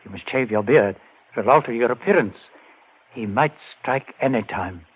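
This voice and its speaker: A man's voice: mid-range voice, moderate pace, slightly rough, close to an everyday voice. An actor playing a determined man set on vengeance, giving firm, urgent orders in a vintage radio drama. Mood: grim resolve. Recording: muffled, phone-like old radio recording.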